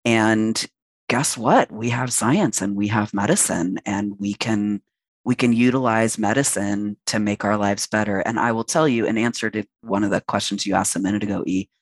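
A man's voice: on the low side, moderate pace, no echo. A transmasculine man in his late forties, a podcast guest speaking frankly and reflectively at length with fillers. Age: late 40s. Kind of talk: candid interview conversation.

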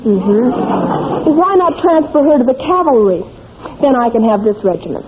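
An actress playing a headstrong, sharp-tongued young princess in an old-time radio comedy, with insistent, flippant comebacks. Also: female voice, on the high side, very animated, moderate pace. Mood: demanding. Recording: noisy, tinny old radio recording.

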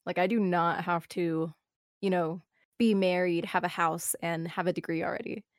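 A woman's voice: lowish voice, fairly even intonation, no room sound, clean studio sound. A young woman in her early twenties, a streamer talking casually and earnestly with many fillers. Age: young adult. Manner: fast, filler-heavy, earnest talk. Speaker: guest streamer. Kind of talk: casual chat.

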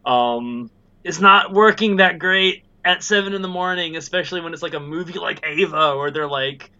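A man's voice: fairly high pitch, normal speed, bright, forceful tone, smooth, highs cut off. A man chatting casually as a podcast guest, rambling with lots of fillers and laughs. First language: not Japanese. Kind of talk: casual chat. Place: in Japan.

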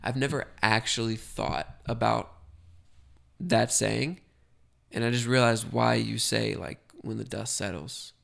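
Congested young man with gravelly voice. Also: fairly low pitch, unhurried, a bit bright. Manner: rambling, jokey, self-deprecating. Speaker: podcast co-host. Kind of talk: casual chat.

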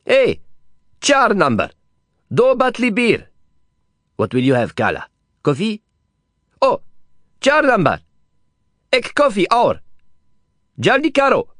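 A man voicing a rude, provocative man in his thirties, loud, blustering and mocking. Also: a little high, sing-song, measured pace, no room sound, clean studio sound, voice pushed up. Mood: shouting.

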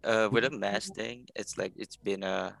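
A man whose first language is Tagalog, chatting casually as a podcast guest, halting and repeating words as he searches for them. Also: lowish voice, steady tone, creaky, fairly bright tone, dry recording. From Philippines. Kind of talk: casual chat.

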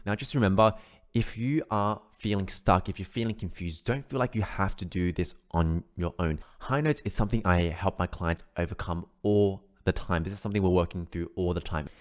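A man's voice: deep voice, fairly quick. A man teaching an online singing lesson, explaining steps in an encouraging way and often checking in with "right?". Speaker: vocal coach.

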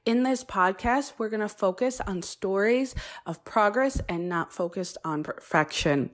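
A woman's voice: mid-range voice, unhurried. A woman hosting her own podcast, talking earnestly and chattily with frequent ums and detours. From United States. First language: Polish. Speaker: podcast host. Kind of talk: solo podcast introduction.

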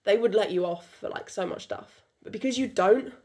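Young British-accented woman, French native, podcast host; loose rambling delivery, mid pitch. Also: normal speed, darkish tone. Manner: rambling, many fillers and restarts. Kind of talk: casual podcast chat.

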